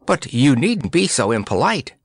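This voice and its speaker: A man's voice: mid-range voice, sing-song, normal speed, slightly rough, no echo, studio quality, voice pushed up. A man voicing a spirited, earnest young girl in a storybook. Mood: indignant.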